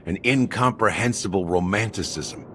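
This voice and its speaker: A man's voice: on the low side, moderate pace, a bit bright, slight background noise, close to an everyday voice. A man playing a cynical, world-weary character in a video game, with a teasing, dismissive drawl. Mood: sardonic, dismissive. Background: game music.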